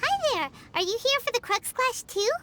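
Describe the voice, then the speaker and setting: A woman voicing a tiny, cheerful, inquisitive fairy girl with a squeaky, childlike voice in an anime game. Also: sing-song, moderate pace, background noise. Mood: cheerful, curious. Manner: speaks of herself in the third person. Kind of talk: anime game character dialogue. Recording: loud game music behind the voice.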